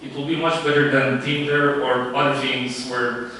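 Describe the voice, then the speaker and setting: Guy pitching a startup, lots of ums, pretty monotone, heard from a distance in a super echoey room. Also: slight background noise. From Romania. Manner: halting, many fillers and restarts. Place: event room.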